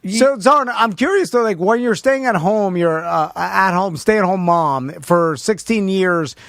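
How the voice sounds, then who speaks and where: A male radio host with a slightly high voice, recorded dry and close on a studio mic. He chats casually, laughs easily and fills his pauses with "uh.". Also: expressive, fairly bright tone, no room sound. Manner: chatty, laughs often, filler-heavy. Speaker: radio talk show host. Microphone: studio microphone.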